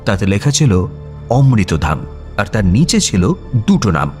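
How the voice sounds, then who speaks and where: A man's voice: fairly low pitch, sing-song, a little slow, darkish tone. A man narrating a scripted story in a dramatic storytelling style. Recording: music bed under voice. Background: background music.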